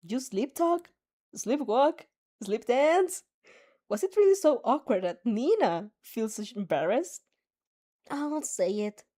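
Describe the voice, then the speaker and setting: A woman's voice: fairly high pitch, sing-song, takes it slowly, warm tone. A young woman reading a fan story aloud, often giggling and laughing as she goes. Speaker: fan audio reader.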